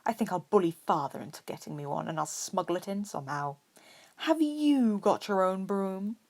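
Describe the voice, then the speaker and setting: A woman's voice: medium pitch, lively intonation, normal speed, darkish tone. A woman voicing a spoiled, snobbish eleven-year-old wizard boy with a lazy drawl in a story reading. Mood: lazy, entitled.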